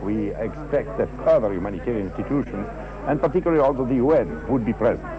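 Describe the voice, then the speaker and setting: A man giving a halting, unscripted interview answer as an aid worker. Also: mid-range voice, expressive, music in the background, low-quality recording.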